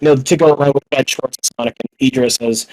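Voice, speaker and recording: A man's voice: mid-range voice, fairly even intonation, fast talker, a little mellow, rough recording. A young man chatting casually as a podcast panelist, slangy, sweary and self-deprecating. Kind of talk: casual fan chat.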